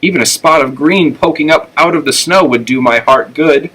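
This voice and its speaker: Storybook pig, young and female, earnest and chatty, bright delivery performed by a man. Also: a little high, normal speed, breathy edge, pitched above the performer's own voice, poor audio. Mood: cheerful, hopeful. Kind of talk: read-aloud children's storybook.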